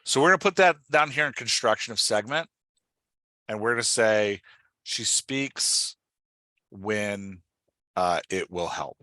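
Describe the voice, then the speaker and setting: Bright-voiced man coaching, close and dry. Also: on the low side, measured pace, long pauses, no echo. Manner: direct, instructive, often checks understanding. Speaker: podcast host coaching an author on dialogue. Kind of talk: casual one-on-one coaching talk.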